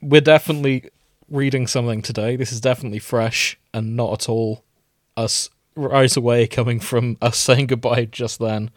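A man hosts a podcast in an easy, conversational style, trading banter with friends. His voice sits at an average male pitch, and the sound is very dry, with hardly any room on it. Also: fairly even intonation, normal speed, dry recording. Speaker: podcast host.

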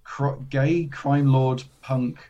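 A man, a slightly hoarse guest chatting casually about tabletop games, with self-deprecating asides. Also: mid-range voice, steady tone, extremely slow, mellow, muffled recording. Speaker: guest, tabletop game designer. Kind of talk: casual interview chat.

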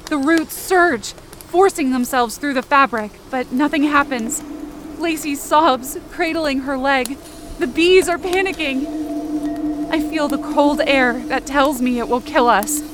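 High-voiced, frightened girl played by a woman. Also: slow pace, heavy background noise. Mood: crying, desperate. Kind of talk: first-person dramatic audio fiction narration.

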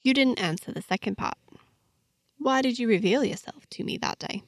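Woman reading as prickly guarded fantasy heroine, prodding, very up and down pitch, dry recording. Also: lively intonation, normal speed, no room sound. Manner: prodding, easily annoyed. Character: guarded, indignant woman, daughter of a powerful father.

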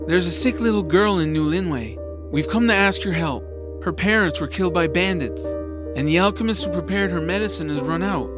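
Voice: medium pitch, fairly quick, over music, natural voice. A performer playing a young boy hero in an audio drama, earnest, kind and worried, speaking plainly. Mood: pleading.

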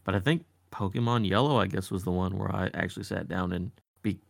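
Male guest in his thirties, heavy gravel in a very deep voice, dry sound. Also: fairly quick, dry recording. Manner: laid-back, swears casually. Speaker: podcast co-host. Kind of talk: casual chat.

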